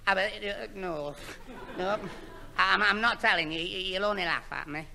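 Casting: flustered dim young man, high for a man, creaky, stammering, whining; old radio sitcom. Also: normal speed, pushed and bright, full character voice. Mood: fed up. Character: daft, whining, put-upon young man. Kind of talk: 1960s radio comedy acting. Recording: noisy old radio recording.

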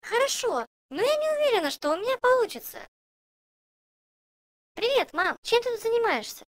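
Cartoon schoolgirl piglet: very high, swoopy, insistent, chirpy, recorded dry. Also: normal speed, no echo, full character voice. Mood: curious, unsure. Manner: chirpy, expressive, childlike questioning. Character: cheerful, insistent little girl pig, young schoolchild. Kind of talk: children's cartoon dubbing.

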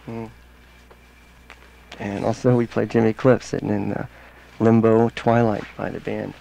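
A man hosting a casual radio interview as the DJ, low-key and unhurried, in a studio. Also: level tone, gravelly, warm tone, muffled recording. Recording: noisy old radio aircheck.

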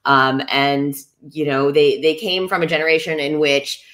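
A woman's voice: low voice, moderate pace, a bit bright. A woman in her thirties from the United States, a voice actor on a video call from home, reflecting at length with "you know" and "um.". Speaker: voice actor panelist. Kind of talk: thoughtful casual chat. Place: home room with a gaming chair. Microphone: webcam on a video call.

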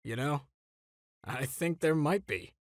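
A man voicing a tired, grumpy adult male hero teacher in a comic dub, deadpan and understated. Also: on the high side, slow, no room sound, clean studio sound. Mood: dry, grumpy. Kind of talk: animated comic dub voice acting.

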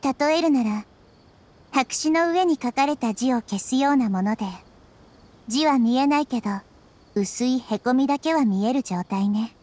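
A woman voicing a gentle, wise child-like girl deity in a Japanese anime game, measured and reflective. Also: high voice, expressive. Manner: measured, soft-spoken, reflective.

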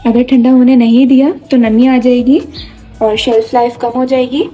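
A woman talks through a home recipe in a calm, explanatory way, voicing over her cooking. Her voice sits a little high and mellow, with soft music playing faintly behind her. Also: moderate pace, dull audio. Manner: calm, instructive, explains each step. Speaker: cooking channel host. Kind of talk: step-by-step recipe narration.

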